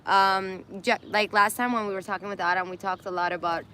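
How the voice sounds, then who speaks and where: A woman's voice: mid-range voice, steady tone, fairly quick, bright, forceful tone, a little hiss or hum. A woman hosting a casual live stream, laughing often and losing her voice. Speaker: host of an Instagram live. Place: indoors in Armenia.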